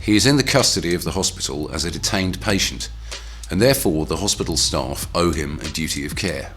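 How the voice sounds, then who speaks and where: A man narrating a training film from a script in a formal, measured, authoritative way. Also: low voice, fairly bright tone.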